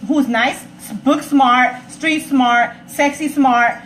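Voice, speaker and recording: A woman's voice: sits high, fairly even intonation, slow pace, a bit bright. An American woman giving her opinions in casual chat, assertively, restating points for emphasis. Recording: roomy echo, faint background noise.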